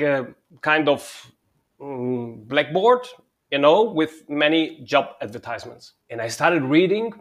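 A man from Spain, a telecom company head talking through his career in an interview with frequent fillers and restarts. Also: fairly high pitch. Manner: hesitant, with filler words and repeated starts. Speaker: guest, telecom consulting CEO. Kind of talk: conversational interview.

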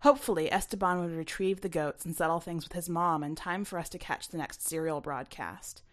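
A woman reading her own short story aloud as expressive first-person narration. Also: lowish voice, a little fast.

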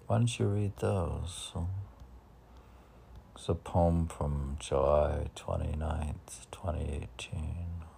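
A man's voice: a bass voice, steady tone, slow, creaky, warm tone, a little hiss or hum. A man reading his own poetry aloud and musing to himself between lines, often repeating phrases. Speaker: poet reading own work. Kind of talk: poetry reading with muttered asides.

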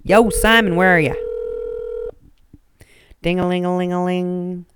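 A woman hosting a casual radio show, chatty and animated, laughing often. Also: lowish voice, unhurried, background noise.

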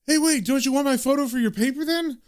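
A man's voice: very high-pitched, fast, voice pushed up. A man playing a dim, gullible British policeman who chats in blokey slang while retelling a comic story. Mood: chatty.

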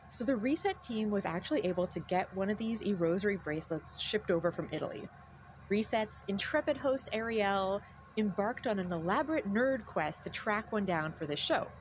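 A woman's voice: medium pitch, a little hiss or hum. A woman hosting a podcast, reading scripted narration in a conversational way. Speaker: podcast host.

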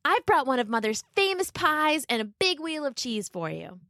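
Innocent young fairy-tale girl, acted by a woman: higher register, cheerful, well-mannered, animated. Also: normal speed, dry recording, clean studio sound, exaggerated voice. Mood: concerned. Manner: polite, bright and childlike.

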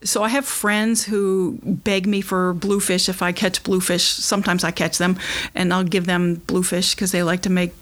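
A woman's voice: fairly low pitch, flat delivery, moderate pace. A middle-aged woman from Kentucky, a spearfisher, chatting casually in an interview and telling stories with lots of restarts. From Kentucky, USA. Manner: chatty, warm storytelling with frequent restarts.

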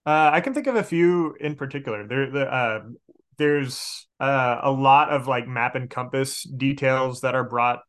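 A man's voice: mid-range voice, moderate pace, fairly bright tone, clean tone. An American man from Arkansas chatting casually as a podcast guest, explaining things and correcting himself as he goes. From Arkansas, United States. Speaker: podcast guest, game master and outdoor educator. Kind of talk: casual interview chat.

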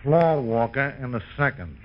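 An actor playing a shady, laconic American racetrack tout, deadpan, in an old radio comedy on a muffled, very noisy recording. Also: male voice, medium pitch, moderate pace, natural voice. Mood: sly, deadpan. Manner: deadpan, clipped phrases. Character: shady, laconic male racetrack tout with unflappable secretive air. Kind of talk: 1940s-50s American radio comedy acting.

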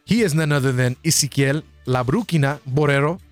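A man's voice: fairly high pitch, a little fast, soft background music. A man hosting a sports talk show, upbeat and eager, introducing his guest and asking personal follow-up questions. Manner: upbeat, hypes the guest, asks probing follow-ups. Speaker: sports radio show host. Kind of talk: conversational interview.

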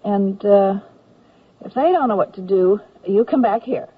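Husky, dithering army nurse. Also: female voice, mid-range voice, measured pace. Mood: vague, musing. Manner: hesitant, musing, indecisive. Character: vague, dithering but helpful female army nurse lieutenant. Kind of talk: radio comedy acting. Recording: muffled 1970s radio recording.

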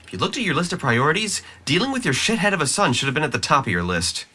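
A man's voice: fairly high pitch, wildly swooping pitch, fast talker, bright, forceful tone, a little hiss or hum, exaggerated voice. A man playing a depressed, sarcastic, volatile young man who is bitter and profane, in an audio drama. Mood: furious, exasperated.

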